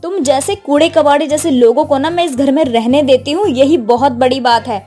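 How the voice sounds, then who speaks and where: Haughty high-voiced young city bride played by a woman. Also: fairly quick, warm tone, lightly acted. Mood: contemptuous. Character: haughty, demanding young city woman, spoiled second wife. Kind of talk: Hindi moral-story cartoon dubbing. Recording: faint music behind the voice.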